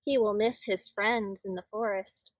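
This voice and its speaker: A woman reading aloud as a practical mother gently questioning her little boy in a children's picture book. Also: medium pitch, fairly even intonation, a little slow, dry recording, pitched below the performer's own voice, natural voice. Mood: doubtful, questioning.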